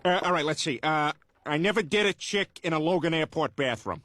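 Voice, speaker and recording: A man voicing a brash, childish, dim-witted, excitable family man in an animated sitcom. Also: fairly high pitch, brisk, slight background noise. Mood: playful. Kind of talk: animated sitcom comedy acting.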